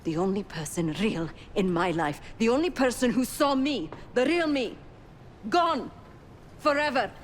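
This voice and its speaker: A woman's voice: fairly high pitch, animated, unhurried, a little hiss or hum. An actress playing a guarded, tough female covert operative in a video game, blunt and intense. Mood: bitter, intense. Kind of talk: video game cinematic acting.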